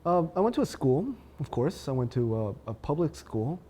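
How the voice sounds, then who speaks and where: A man's voice: on the high side, big pitch swings, brisk, mellow, breathy edge. A man who grew up in the United States chats as a guest, laughing often and restarting his sentences. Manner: chatty, laughs often, many restarts and fillers. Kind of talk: casual interview chat.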